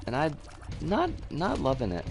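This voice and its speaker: A man with a scratchy, hoarse voice from an oncoming cold, chatting casually and opinionatedly with some swearing as he streams a game. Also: a little high, huge pitch swings, normal speed. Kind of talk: casual live game commentary and chat.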